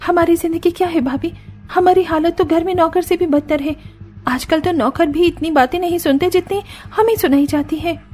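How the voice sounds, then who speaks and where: A woman's voice: very high voice, moderate pace, a little mellow, faint music behind, pitched above the performer's own voice. A woman doing a fed-up young daughter-in-law. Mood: bitter. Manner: indignant, complaining. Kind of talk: animated storybook voice acting.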